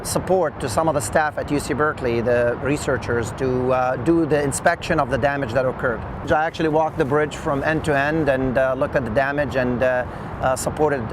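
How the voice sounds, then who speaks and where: A middle-aged man recounts his work in an unscripted interview, hesitating often as he goes. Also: medium pitch. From Lebanon. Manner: halting, with frequent long hesitations. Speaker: engineer interviewee. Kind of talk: oral history interview, unscripted recollection. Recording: loud outdoor traffic noise.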